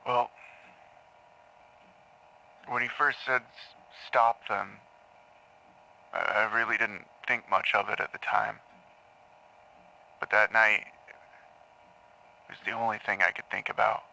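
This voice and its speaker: A man playing a troubled patient in an audio drama, haltingly confessing dark memories to a therapist, stammering and repeating words. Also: fairly low pitch, steady tone, gravelly, a little hiss or hum, heavily acted, low-quality recording. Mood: anxious, haunted. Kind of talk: audio drama acting, intimate confessional monologue.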